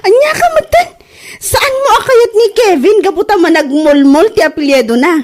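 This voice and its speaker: A woman with an Ilocano Filipino accent playing a nosy, excitable young cousin in a comedy drama, exclaiming in a whiny, mocking way. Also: very high-pitched, normal speed. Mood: mocking disbelief.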